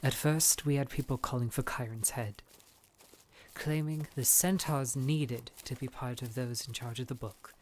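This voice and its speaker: A man acting as a wounded, exhausted centaur envoy in a fantasy audio drama, formal and respectful, speaking haltingly with strain. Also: medium pitch, steady tone, a little mellow, a little hiss or hum, natural voice. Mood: weary.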